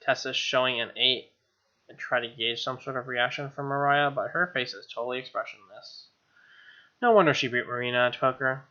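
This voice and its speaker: Male let's-play host; sharp bright voice, mid pitch, casual snark and swearing, dull recording. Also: brisk. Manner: snarky, profane asides. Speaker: gaming video host. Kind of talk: reading a visual novel aloud with casual commentary. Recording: dull lo-fi mic over music. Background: game music with guitar.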